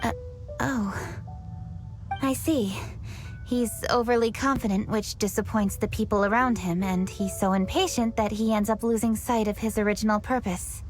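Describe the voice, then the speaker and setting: A woman voicing an earnest, driven young woman in a game cutscene, speaking in a composed, thoughtful, measured way. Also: mid-range voice, fairly even intonation, natural voice. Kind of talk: video game cutscene dialogue. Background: game music.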